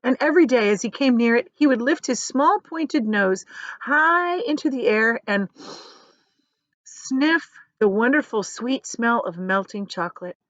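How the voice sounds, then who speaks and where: A woman reads a children's story aloud, expressively, with deliberate, dramatic emphasis on key words. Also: on the high side, expressive, a bit bright, dry recording, dull audio. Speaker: teacher reading aloud.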